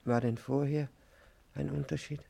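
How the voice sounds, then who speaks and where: An actor playing a gentle, fatalistic older man in hiding in a 1950s German radio play, quiet, pensive and plain-spoken, with a creaky voice. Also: medium pitch, level tone, moderate pace. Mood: gentle, frank.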